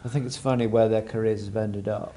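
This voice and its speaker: A man's voice: lowish voice, fairly even intonation, brisk, dark tone. Chatty English man hosting football talk. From England. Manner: chatty, laughs while telling stories. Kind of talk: casual football chat.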